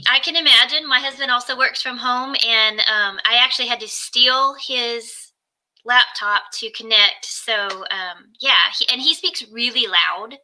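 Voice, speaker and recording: A soft-spoken woman talking earnestly as a guest coach on a casual video call from home. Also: a little high, a little slow, rough recording.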